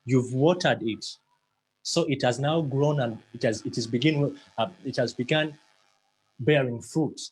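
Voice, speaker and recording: Husky guy giving a pep talk, stammers a bit, repeats words, earnest and punchy, middle pitch. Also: unhurried, low-quality recording. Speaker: motivational speaker. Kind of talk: motivational talk.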